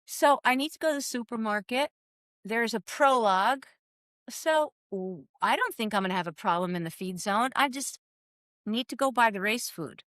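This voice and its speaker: A woman in her sixties from California, a former cycling soigneur telling animated stories in an interview and acting out the dialogue. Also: fairly high pitch, normal speed, no echo, clean studio sound. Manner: lively, acts out quoted dialogue.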